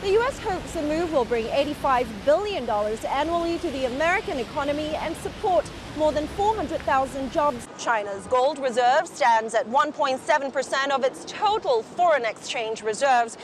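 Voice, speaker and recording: A woman's voice: high voice, expressive, normal speed, hardly any pauses. A woman delivering broadcast business news as a reporter, in a polished, emphatic manner. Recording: music under voice, some location noise.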